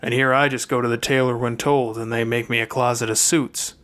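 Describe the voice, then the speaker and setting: A reader voicing an earnest, reserved older brother from a wealthy family in an audiobook, measured and understated. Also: medium pitch, little pitch movement, a bit bright, voice pushed down, close to an everyday voice. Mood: mild, defensive.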